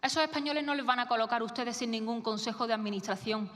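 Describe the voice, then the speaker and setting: A woman's voice: on the high side, steady tone, fast talker, fairly bright tone. A young woman politician with a Castilian Spanish accent, giving a formal parliamentary speech in an emphatic, accusatory manner. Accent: Castilian Spanish from Spain. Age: young adult. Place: large parliamentary chamber. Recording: echoey hall, reverberant chamber sound.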